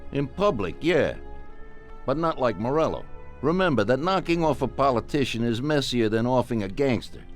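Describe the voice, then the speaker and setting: Casting: male actor as cold, businesslike crime boss for a game, authoritative, mid-pitched, music underneath. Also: expressive, close to an everyday voice. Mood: calm, commanding. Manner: authoritative, deliberate, giving orders. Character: ruthless, calculating Mafia boss, commanding and businesslike. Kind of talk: scripted video game dialogue.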